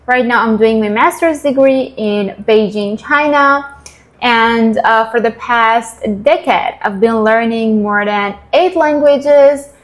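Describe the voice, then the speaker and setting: A young woman from Iran whose first language is Persian, speaking in a fairly high, bright-toned voice. She talks like a friendly YouTuber, chatty and enthusiastic as she guides her viewers. Her tone stays upbeat and direct. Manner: chatty and enthusiastic, addresses viewers as "you guys".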